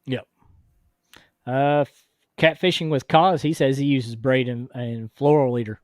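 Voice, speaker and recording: A man hosts a laid-back fishing chat in a voice made hoarse and deeper by illness. He talks in a relaxed way and reads out viewer comments. The sound is dry and close, with middling pitch. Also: a little slow, no echo. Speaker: podcast host. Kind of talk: casual chat on a live fishing podcast.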